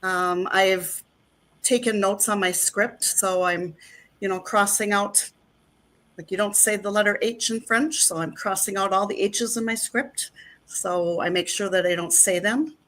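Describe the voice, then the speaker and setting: A woman's voice: medium pitch, steady tone, a little slow, a bit bright. A middle-aged woman chatting as an interview guest, rambling with plenty of fillers. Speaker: actress and guest. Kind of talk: casual interview chat.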